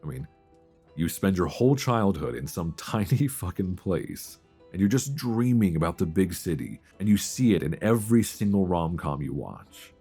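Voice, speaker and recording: A man playing a wistful, homesick young friend with a secret crush in an intimate romantic audio roleplay, deep-voiced, confiding and hesitant, close on a binaural mic. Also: very animated, moderate pace, husky, exaggerated voice. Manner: hesitant, confiding, conversational with sighs. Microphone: close binaural microphone. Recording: close binaural recording with soft guitar music.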